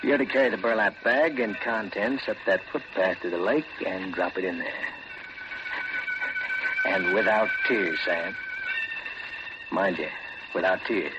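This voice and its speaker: An actor playing a shady, calculating gentleman villain, formal and precise with deliberate hesitant pauses, in 1940s radio horror style. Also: low voice, heavy background noise. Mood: coolly commanding. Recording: muffled vintage radio drama with loud music.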